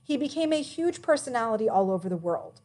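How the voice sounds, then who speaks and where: A woman reading a children's biography aloud as a narrator, with expressive, emphatic phrasing. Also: fairly high pitch, quick pace.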